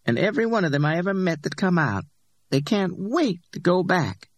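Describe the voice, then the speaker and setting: A man's voice: high voice, big pitch swings, normal speed, no room sound, voice pushed up, exaggerated voice. A man voicing a tough, streetwise older woman who talks in a drawling, folksy way. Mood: storytelling.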